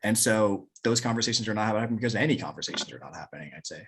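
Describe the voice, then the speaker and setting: A man on a library panel in an online roundtable, explaining things in a brisk, matter-of-fact way. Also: lowish voice, lively intonation, fairly bright tone, a little hiss or hum. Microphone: video call.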